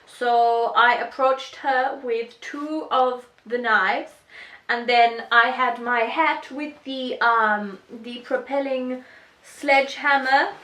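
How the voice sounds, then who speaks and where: An earnest, thieving witch, played by a woman in a homemade comedy skit. The voice is bright and a little high in a roomy echo, recounting mischief with halting, mock-serious care. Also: steady tone. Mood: matter-of-fact. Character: earnest, matter-of-fact thieving witch telling her mischief.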